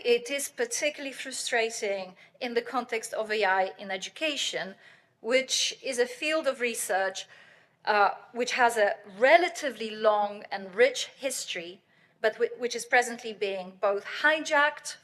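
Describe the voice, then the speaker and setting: A middle-aged woman giving a conference keynote lecture, loud and earnest, with fillers and light laughs. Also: a little high. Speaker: keynote speaker, professor.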